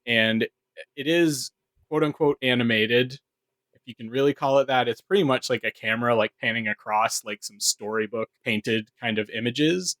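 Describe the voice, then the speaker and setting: A man speaks in an average male pitch with very little room sound. He explains things in a casual, detailed way, as a co-host chatting on a podcast. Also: moderate pace, fairly bright tone, no echo.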